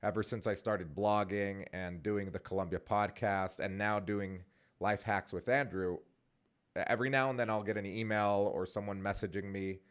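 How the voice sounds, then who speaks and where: A man in his forties hosting his own vlog, talking casually and rambling with many fillers and restarts. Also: low voice. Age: 40s. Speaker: vlogger and podcast host.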